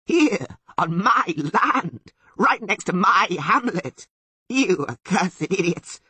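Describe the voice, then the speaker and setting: Audiobook sylvan: indignant goat creature, high raspy bleat, swooping, abusive and emphatic. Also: male voice, lively intonation, slow pace, bright, forceful tone, dry recording, heavily acted. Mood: outraged. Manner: emphatic, scolding. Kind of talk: audiobook character voice.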